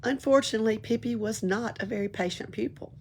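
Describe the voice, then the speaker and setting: A woman reads a children's book aloud in an expressive storytelling way. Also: a little high, quick pace, slight background noise. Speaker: library storyteller.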